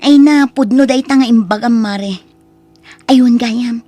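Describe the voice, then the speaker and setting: A woman with an Ilocano accent playing a sharp-tongued, headstrong young wife in an audio drama. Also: a little high, measured pace, dry recording. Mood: complaining. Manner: expressive, complaining.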